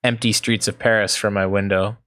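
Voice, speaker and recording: A man's voice: on the low side, little pitch movement, brisk, fairly bright tone, no echo, studio quality. A man in his mid-thirties co-hosting a gaming podcast in casual, joking chat. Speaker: podcast co-host.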